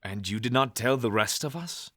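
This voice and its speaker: A man's voice: medium pitch, expressive, normal speed, fairly bright tone, no room sound, clean studio sound. A man playing a formal, skeptical traveler in a fantasy audio drama. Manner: formal, uncontracted phrasing.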